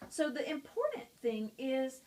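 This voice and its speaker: Woman, teacher, slightly high voice, friendly, explaining a book lesson. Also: sing-song, unhurried, a little mellow. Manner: friendly, explanatory, talking to students.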